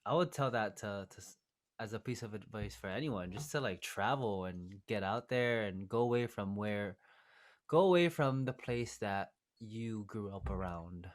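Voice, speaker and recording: Casual male podcast host, a bit low-voiced, thinks aloud and fills pauses freely. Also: expressive. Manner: easygoing, thinks aloud with fillers. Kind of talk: casual interview chat.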